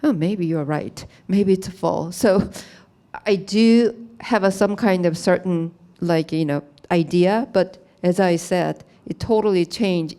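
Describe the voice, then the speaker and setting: Halting, soft-voiced woman artist. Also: on the low side. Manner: halting, plain non-native English with many "you know" fillers. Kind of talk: gallery talk about her art. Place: gallery, speaking to an audience.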